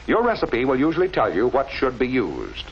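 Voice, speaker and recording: A man narrating a scripted 1940s instructional film in a measured, didactic way with careful enunciation, on a vintage film soundtrack. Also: mid-range voice, huge pitch swings, highs cut off, slight background noise.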